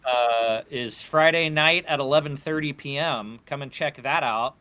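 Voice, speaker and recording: A man speaking as a panelist in casual chat, telling stories in an animated way. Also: medium pitch, moderate pace, clear, resonant tone. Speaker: panelist and puppeteer.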